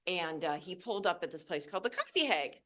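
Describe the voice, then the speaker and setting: A woman presenting to a live audience in a loud, chatty, laughing way, speaking into a handheld microphone. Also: lowish voice, sing-song, fast. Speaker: cannabis breeder and presenter. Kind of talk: casual talk to a live audience. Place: event venue with live audience.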